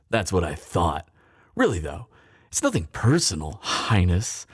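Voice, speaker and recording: A bitter, mocking male thief played by a man speaks in a close, dry recording. His delivery swings widely in pitch, sardonic and theatrical. It is a fantasy roleplay spoken straight to the listener, hardened by grief. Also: huge pitch swings, breathy edge, no echo, heavily acted. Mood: mocking, controlled. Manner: sardonic, theatrical, deliberate pauses. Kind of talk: dramatic fantasy audio roleplay, addressed to listener.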